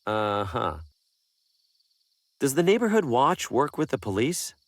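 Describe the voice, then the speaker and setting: A man's voice: medium pitch, sing-song, a little slow, no room sound. An actor playing a bemused, jittery traveling salesman with wry asides in an adventure video game. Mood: curious, dry. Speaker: player character in adventure game.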